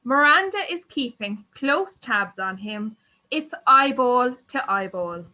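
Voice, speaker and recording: A woman's voice: on the high side, lively intonation, takes it slowly, bright, forceful tone, dull audio. A woman reading scripted stage directions as the narrator of a radio play.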